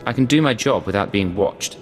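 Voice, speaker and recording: An actor voicing a cool, skeptical secret agent in a video game, with a dry, guarded manner. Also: male voice, lowish voice, expressive, a little fast, long reverb tail, soft background music, lightly acted. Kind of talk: video game dialogue acting.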